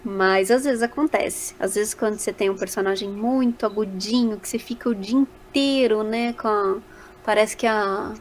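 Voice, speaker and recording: A woman's voice: medium pitch, normal speed. A Brazilian woman speaking casually as a voice actor guest in a podcast interview, animatedly telling stories and acting out little quoted remarks.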